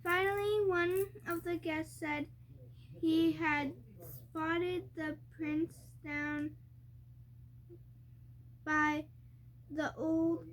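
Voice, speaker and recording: A young child reading a storybook aloud, haltingly and word by word, stumbling over harder words. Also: very high voice, steady tone, fairly bright tone, a little hiss or hum.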